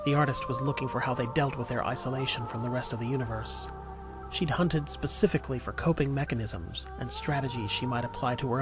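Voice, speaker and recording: A man reading scripted narration for an audio drama in a storytelling style. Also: mid-range voice. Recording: music under the voice. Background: music.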